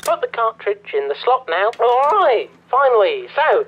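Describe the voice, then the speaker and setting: A man playing an excitable, bumbling office manager in a video game, talking in a repetitive, stammering way. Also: very high voice, normal speed, breathy edge, muffled recording, full character voice. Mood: upbeat, fussy. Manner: stammering, repetitive, over-enthusiastic. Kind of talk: improvised video game character acting.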